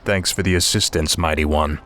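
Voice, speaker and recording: An actor playing a fallen prince turned undead death knight in a game, cold, haughty and commanding. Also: male voice, a bass voice, steady tone, a little fast, a bit bright. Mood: brisk, commanding. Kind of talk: video game cutscene acting.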